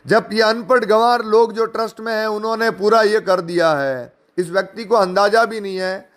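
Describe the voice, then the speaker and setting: A man's voice: high voice, fairly bright tone. A man giving an informal spoken rebuttal, sarcastic and indignant, mocking his opponents and firing rhetorical questions. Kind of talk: informal spoken rebuttal, addressing listeners directly.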